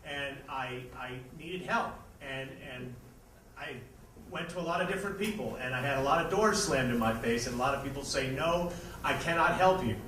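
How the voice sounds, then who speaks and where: A man with an American accent giving an informal, halting thank-you speech as host to a live audience. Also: medium pitch, a bit bright. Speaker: theater project organizer and host. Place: indoor venue before a live audience. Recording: echoey venue, distant mic, noisy audience.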